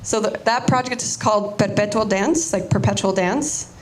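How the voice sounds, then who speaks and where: A woman's voice: mid-range voice, moderate pace, a little hiss or hum, poor audio. Chatty, informal woman giving an artist talk. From United States, Cuban-American family. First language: Spanish. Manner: informal, chatty, restarts sentences often. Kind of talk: casual artist talk to an audience.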